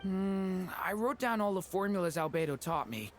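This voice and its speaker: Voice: mid-range voice, animated, normal speed, soft background music, close to an everyday voice. An actor as an earnest, hesitant young boy student. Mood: unsure. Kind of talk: video game character dialogue.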